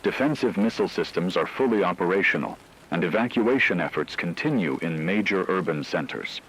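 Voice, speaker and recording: A man playing a grave radio news anchor, delivering a scripted dramatic war broadcast in a solemn, measured, emphatic way. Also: low-pitched, expressive, fairly bright tone, a little hiss or hum, low-quality recording. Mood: grave, urgent.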